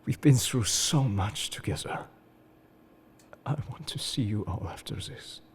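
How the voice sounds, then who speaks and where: A man playing a suave, enigmatic engineer-agent in an intimate roleplay audio, breathy and halting as he confides old wounds with tender devotion. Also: mid-range voice, lively intonation, slight background noise, close to an everyday voice. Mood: earnest, protective. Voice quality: breathy, husky, slipping into whispers. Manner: measured, confessional, halting. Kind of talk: intimate scripted roleplay audio addressed to the listener.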